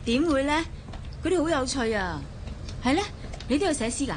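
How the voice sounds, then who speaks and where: A woman's voice: sits high, lively intonation, brisk, slight background noise. A woman acting a well-off, spoiled woman in love in a Cantonese radio drama, with a Hong Kong accent, teasing and coquettish or reflective as she narrates. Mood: playful.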